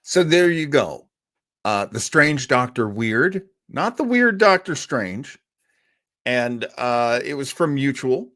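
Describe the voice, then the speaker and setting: A man hosting a podcast, commenting casually in a relaxed, halting way with many pauses. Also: medium pitch. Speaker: podcast host.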